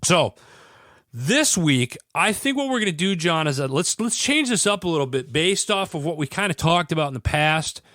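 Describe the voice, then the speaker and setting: A man's voice: on the high side, expressive, normal speed, fairly bright tone, dry recording. A male podcast host with a stuffy head cold, talking in an earnest, rambling way and often restarting his sentences. His voice is very dry, with no room sound at all. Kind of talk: casual podcast chat.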